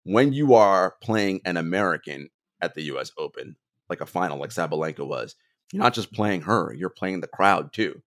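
A man co-hosting a sports podcast, telling stories in an animated, casual way and laughing often. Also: low-pitched, normal speed. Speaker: podcast co-host.

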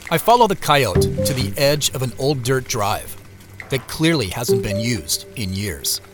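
An American wanderer, lively pitch, over music. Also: male voice. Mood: quietly tense. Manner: measured storytelling with muttered casual asides. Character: male solitary traveler, reflective, wryly talking to himself. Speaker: narrator and lead character. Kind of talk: first-person audio drama narration. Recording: music behind the voice.

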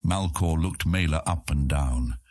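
A man's voice: extremely low pitch, measured pace, darkish tone, dry recording, studio quality. A man reading a fantasy audiobook as its scripted narrator.

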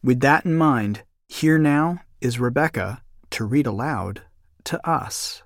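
Man, podcast host, scripted introduction, medium pitch, dry recording. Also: lively intonation, slow pace, no echo.

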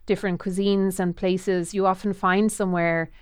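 A woman's voice: mid-range voice, little pitch movement, moderate pace, smooth, no echo, studio quality. A woman hosting a casual interview, chatty and effusive, often trailing off and restarting. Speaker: podcast host.

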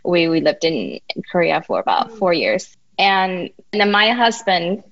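A woman from Taiwan whose first language is Mandarin, speaking English as a podcast guest in a reflective, halting way with many restarts. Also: on the low side, very animated, gravelly, fairly bright tone, lo-fi sound. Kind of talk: casual interview.

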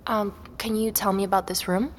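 An actress playing a curious, quietly persistent young woman visitor, speaking tentatively in naturalistic audio drama dialogue. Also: mid-range voice, level tone, a little fast, slight background noise, natural voice. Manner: tentative, inquisitive. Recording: long hall-like reverb.

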